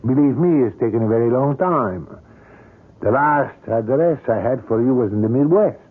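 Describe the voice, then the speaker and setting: Old-time radio drama: a courtly, obsessed older sculptor, florid and precise, slightly rough tone, heavily band-limited sound. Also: male voice, mid-range voice, animated, moderate pace. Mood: smooth, insistent. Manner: formal, florid, precise diction. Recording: muffled vintage radio drama recording.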